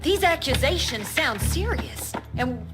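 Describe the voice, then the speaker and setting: An actress playing a deferential, tactful subordinate in a video game cutscene, formal and hesitant. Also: female voice, sits high, very animated, measured pace, music in the background. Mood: cautious.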